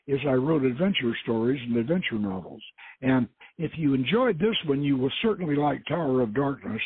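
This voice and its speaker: A man's voice: medium pitch, slightly rough, no room sound. A man hosting and reading aloud from an adventure story, stumbling and repeating words now and then. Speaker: host and author reading his novel. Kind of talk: dramatic reading of an adventure novel. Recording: muffled phone-like lo-fi audio.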